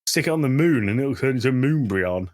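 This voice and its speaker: A man's voice: medium pitch, lively intonation, fairly quick, a little mellow, dry recording, clean studio sound. A man co-hosting a podcast in casual banter, argumentative and exasperated, swearing freely. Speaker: podcast co-host.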